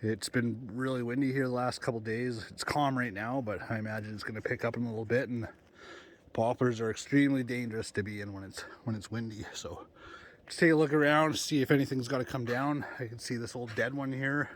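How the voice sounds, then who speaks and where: A man's voice: medium pitch, brisk, slight background noise. A man with a stuffy, congested voice from a cold, chatting casually and rambling in a vlog about his camping gear and plans. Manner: relaxed, rambling, with many fillers and restarts. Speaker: bushcraft vlogger. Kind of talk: casual outdoor vlog talk.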